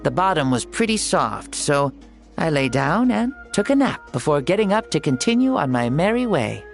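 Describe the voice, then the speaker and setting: Ancient spirit in a youthful body, animated pitch, playful, grandiose, old-fashioned phrasing, video game, soft music behind. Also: normal speed, creaky. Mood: jaunty, chatty. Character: ancient fire-god spirit in a young boy's body, jaunty, grandiose warrior. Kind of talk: video game character acting. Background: music under the voice.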